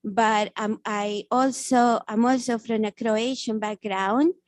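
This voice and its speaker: Founder from South America, female; halting answers to judges, slightly high voice, dry mic. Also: steady tone, no room sound. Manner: halting, restarts and repeats words. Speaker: startup founder pitching. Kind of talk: business pitch answering judges.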